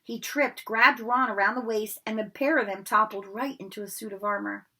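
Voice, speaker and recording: Woman, storybook read-aloud, bright tone, breathy. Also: medium pitch, normal speed, rough recording. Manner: expressive narration. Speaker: reader.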